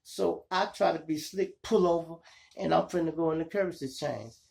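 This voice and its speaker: A man with a Chicago accent and a gravelly voice, telling street stories casually to his viewers in slangy, profane talk. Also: a little high, moderate pace. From Chicago, United States. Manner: animated retelling, slangy, profane, acts out dialogue and sound effects. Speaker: storytelling podcast host.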